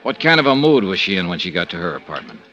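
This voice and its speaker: An American actor playing a dogged, wry insurance investigator with a conscience in a 1950s radio detective drama. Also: male voice, low voice, big pitch swings, quick pace, a bit bright, lo-fi sound. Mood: pressing. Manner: wry, insistent, hard-boiled delivery. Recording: noisy old radio drama recording.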